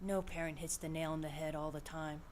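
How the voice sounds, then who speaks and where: A woman's voice: sits low, steady tone, fairly quick, noisy background, close to an everyday voice. A woman playing a practical, caring middle-aged investigator in an audio drama, talking in a plain, conversational way. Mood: warm, reassuring. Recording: music behind the voice.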